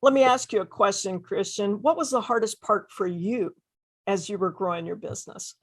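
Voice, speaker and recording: A woman's voice: medium pitch, normal speed. Female interview host, mid-range voice, enthusiastic and effusive. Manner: effusive, repeats phrases for emphasis. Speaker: host interviewing successful online sellers.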